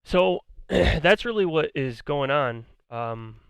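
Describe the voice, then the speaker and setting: A man delivers argumentative, profane commentary, hammering the same points again and again. His smooth voice sits somewhat high and has begun to turn hoarse. He was recorded up close with no room sound at all. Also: lively intonation, slow, no room sound. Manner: argumentative, blunt, profane, repeats himself. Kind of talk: ranting commentary on a debate.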